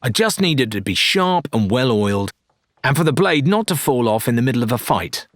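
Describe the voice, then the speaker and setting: A man's voice: medium pitch, big pitch swings, fairly quick, a bit bright, no echo, voice pushed up. A man voicing a brusque, impatient young female pirate in an audiobook, challenging and sarcastic. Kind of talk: dramatised audiobook character dialogue.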